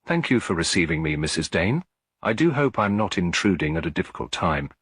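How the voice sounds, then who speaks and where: A man voicing a polite, gentle, inquisitive lady detective in an audiobook, deferential and softly probing. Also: low-pitched, very animated, fairly quick, husky, dry recording, studio quality, heavily acted. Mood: polite, hesitant. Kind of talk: audiobook character dialogue.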